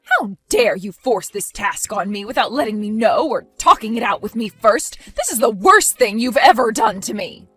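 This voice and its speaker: A woman voicing an indignant older woman who scolds and accuses in a lively, high voice. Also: normal speed, soft background music. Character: furious indignant older woman caring for a baby.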